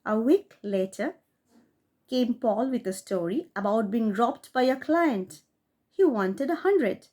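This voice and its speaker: A woman reading a classic short story aloud, in a steady storytelling manner that rises for emphasis. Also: fairly high pitch, slow. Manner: steady storytelling read with rising emphasis on key phrases. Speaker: audiobook reader.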